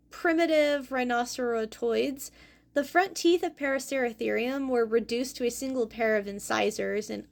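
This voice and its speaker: Female host-teacher, young adult, slightly high voice with some vocal fry, clear explanatory lesson delivery. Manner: clear explanatory delivery, careful over hard names. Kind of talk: educational fossil presentation.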